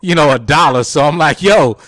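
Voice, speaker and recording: A man's voice: fairly high pitch, brisk, noisy background. A man hosting a casual live talk radio show, speaking informally with slang and easy chuckles.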